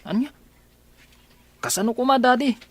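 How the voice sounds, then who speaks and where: A woman's voice: on the high side, normal speed, no room sound, natural voice. A woman voicing a grown daughter who pleads with her father in a radio drama. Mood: pleading.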